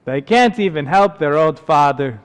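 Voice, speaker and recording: Man playing a weary old water carrier, high-pitched. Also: lively intonation, clear, resonant tone, close to an everyday voice. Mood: grumbling, aggrieved. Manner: lamenting, drawn-out exclamations. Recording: long hall reverb.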